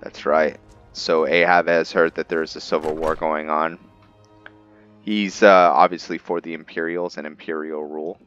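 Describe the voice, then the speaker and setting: A man's voice: a bass voice, little pitch movement, normal speed, a bit bright, lo-fi sound, soft background music. A man narrating his video game roleplay casually, thinking aloud in fragments. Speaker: gaming video host roleplaying a character.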